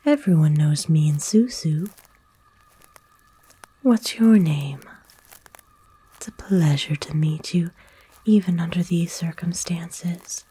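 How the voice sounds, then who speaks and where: A woman voicing a kind, nurturing forest witch in a soothing, folksy, unhurried breathy whisper for an ASMR roleplay. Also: fairly low pitch, dark tone, heavily acted. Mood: friendly, chatty. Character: kind, nurturing forest witch, playful and gently old-fashioned. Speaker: ASMR voice actor.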